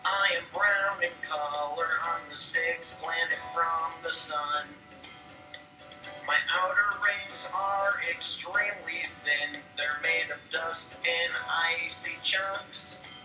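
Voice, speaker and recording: A singer delivers an educational children's song at an unhurried pace. The voice sounds distant and echoey, with clear music behind it. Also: mid-range voice, steady tone.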